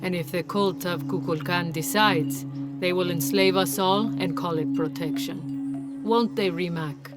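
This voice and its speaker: Wry, cryptic female merchant played by a woman, lowish and unhurried, philosophical game character over loud music. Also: close to an everyday voice. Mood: wry, knowing. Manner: slow, philosophical, teasing. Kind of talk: video game cutscene acting.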